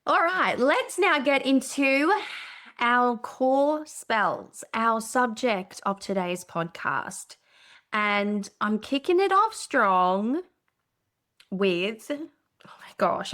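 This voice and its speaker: A woman's voice: on the high side, lively intonation, slow pace, fairly bright tone. Enthusiastic Brazilian-born woman podcaster. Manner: enthusiastic, explanatory, occasional swearing. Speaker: podcast co-host. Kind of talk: casual podcast chat.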